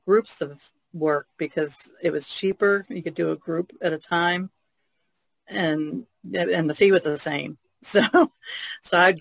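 A man with a fairly high voice full of vocal fry, chatting casually about personal experience. The sound is dry and close, like an informal podcast discussion. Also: a bit bright, dry recording.

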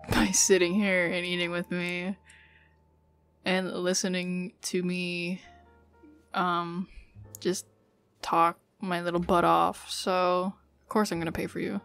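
A woman acts out a chatty young woman on a dinner date in a girlfriend ASMR roleplay. She is teasing and informal, with a slightly bossy streak and a slow, easy pace. Soft music plays faintly behind her. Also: lowish voice. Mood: generous, casual. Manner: informal, teasing, giggly, swearing. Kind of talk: casual girlfriend roleplay ASMR, one side of a conversation.

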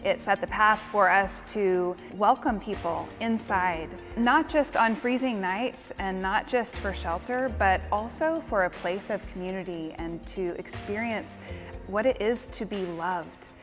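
A woman pastor in her thirties speaking in a measured, articulate way in an interview inside a church. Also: medium pitch, a bit bright, soft background music. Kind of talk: interview testimony. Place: indoors in a church.

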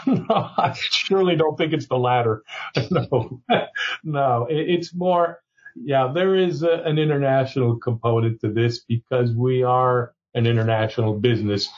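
Cuban-born legal exec, candid folksy talker, mid pitch, dull remote line. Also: male voice, unhurried. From Cuba. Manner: candid, folksy, chuckles often, says "okay?". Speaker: chief legal officer, guest. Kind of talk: conversational interview.

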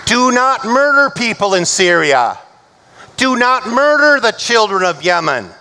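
A middle-aged male pastor delivering a measured, emphatic sermon from a church pulpit. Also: high-pitched, very animated, sharp tone. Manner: measured, emphatic preaching, slowing for recited scripture.

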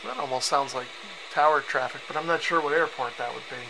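A man giving a casual, low-key hands-on radio demonstration, musing aloud as he goes. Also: fairly even intonation, fast talker, creaky. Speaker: radio reviewer. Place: concrete-walled cellar. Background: shortwave radio noise.

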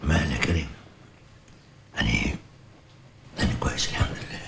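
An actor playing a troubled, weary but tender man with an Egyptian accent in a TV drama, brooding and halting in manner. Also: extremely low pitch, a bit bright, slight background noise. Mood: evasive. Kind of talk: TV drama acting. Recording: music under the dialogue.

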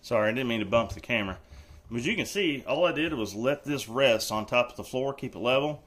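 A man's voice: mid-range voice, expressive, fairly quick, background noise. A man hosting a casual how-to video, talking in a folksy, joking, reassuring way while he works on a floor indoors, recorded on the camera mic. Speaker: DIY channel host. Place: indoors, room under renovation.

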